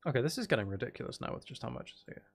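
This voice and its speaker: A man's voice: mid-range voice, big pitch swings, very fast, creaky. A man giving casual, rambling gameplay commentary, thinking aloud as he plays. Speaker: gaming video creator playing Minecraft.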